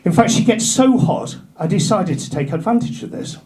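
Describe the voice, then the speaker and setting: Deadpan English stand-up man, lively pitch. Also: moderate pace. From North Yorkshire, England. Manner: dry deadpan delivery, punchlines dropped low and quiet. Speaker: stand-up comedian. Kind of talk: stand-up routine. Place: comedy club. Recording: live club with loud crowd laughter. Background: audience laughter.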